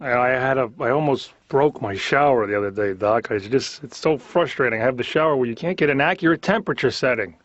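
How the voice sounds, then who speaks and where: A man's voice: medium pitch, quick pace, hardly any pauses, dry recording. A man doing a conversational observational comedy monologue, complaining in a neurotic, exasperated way. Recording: slightly dull lo-fi cartoon soundtrack.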